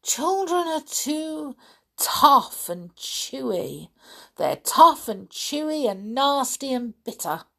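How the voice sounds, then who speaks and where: A scornful, sharp-tongued smaller crocodile in a storybook, voiced by a woman reading aloud. Its voice rises higher and louder as it scolds, with a gravelly edge underneath. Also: very animated, takes it slowly, full character voice. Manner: scolding, mocking.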